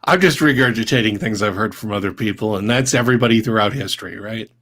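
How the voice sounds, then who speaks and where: A man's voice: fairly low pitch, fast, a bit bright. A man appearing as a guest game designer on a casual livestream, blunt and wry in his opinions.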